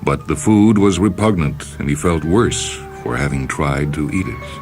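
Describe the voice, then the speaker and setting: Very deep-voiced man narrating a story. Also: moderate pace, darkish tone. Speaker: reader of short stories for a radio program. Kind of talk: dramatic scripted story narration. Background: music.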